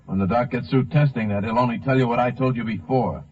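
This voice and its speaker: An actor playing an evasive, insolent mechanic who is accused of robbery in a 1950s radio drama. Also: male voice, mid-range voice, steady tone, fast talker, a little mellow, natural voice. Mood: defiant. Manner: defensive, insolent. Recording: muffled, narrow vintage radio recording.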